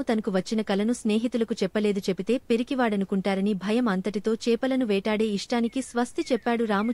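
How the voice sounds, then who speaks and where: A woman's voice: on the high side, a little mellow. A woman reading a scripted children's story as a storyteller. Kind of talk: scripted narration of children's stories.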